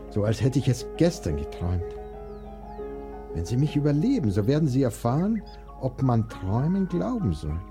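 A man acting a sickly, melancholic and capricious young composer in a German radio play, theatrical, drifting between languor and excitement. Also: on the low side, lightly acted. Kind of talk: German audio drama acting. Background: music.

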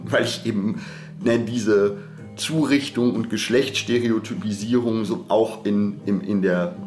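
A man in a reflective podcast discussion, speaking in a searching, self-correcting academic way. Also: lowish voice. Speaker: podcast co-host. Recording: roomy echo, background guitar music.

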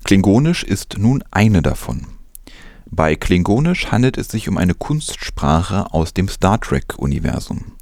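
A man's voice: deep voice, sing-song, a little slow, a little mellow, dry recording, studio quality. A man presenting news, reading from a script in a narration style.

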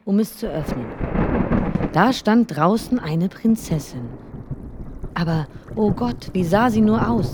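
A woman reading a fairy tale aloud as a bedtime story in a gentle, expressive way. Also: lowish voice, background noise.

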